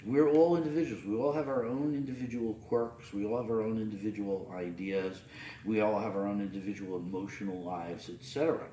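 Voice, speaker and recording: A man's voice: fairly low pitch, steady tone, a little fast, warm tone, slight background noise. Middle-aged man preaching in a roomy chapel. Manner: loose, unscripted preaching with many fillers and restarts. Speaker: priest. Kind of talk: sermon. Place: small chapel, at a pulpit. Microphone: camera at a distance. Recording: roomy, distant camera audio.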